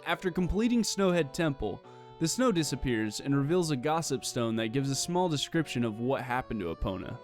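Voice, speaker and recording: A man with a medium-pitched voice narrates a scripted video essay. He lays out his case in a measured, explanatory way, arguing point by point. Faint guitar music plays underneath. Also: very animated.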